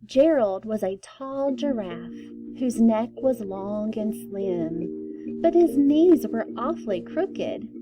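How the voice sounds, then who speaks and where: A woman storyteller giving an expressive read-aloud of a children's book with deliberate, emphatic phrasing. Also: fairly high pitch, soft background music, low-quality recording.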